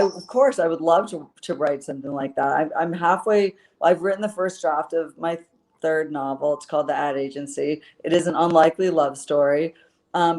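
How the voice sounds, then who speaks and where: A woman's voice: sits low, a little mellow. A woman author being interviewed, earnest and rambling, with plenty of fillers as she talks. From based in Los Angeles. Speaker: author guest. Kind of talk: interview answers.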